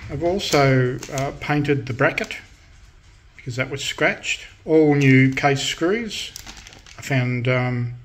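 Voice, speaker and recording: A man hosting a casual hobby restoration demonstration, speaking unscripted in a halting, matter-of-fact way. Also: medium pitch, slight background noise. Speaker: host of a hobby radio restoration channel. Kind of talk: casual hands-on demonstration talk. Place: indoors at a workbench in a room.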